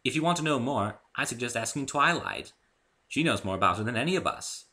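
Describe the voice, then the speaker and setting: A man's voice: mid-range voice, sing-song, fairly quick, bright, forceful tone, voice pushed up. Man putting on a refined, theatrical lady unicorn. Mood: gracious. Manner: elegant, theatrical, affectionate. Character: refined, genteel, good-natured female cartoon unicorn. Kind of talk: dramatic story reading.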